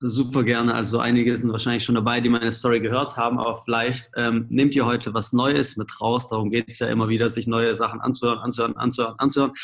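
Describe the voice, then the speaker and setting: A man of twenty-five with a cold-roughened voice, casually telling his life story on a home video call. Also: mid-range voice, quick pace, hardly any pauses. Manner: casual, lively storytelling with slang. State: hoarse from a cold. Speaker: guest speaker. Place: small room at home, indoors. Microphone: laptop webcam, video call.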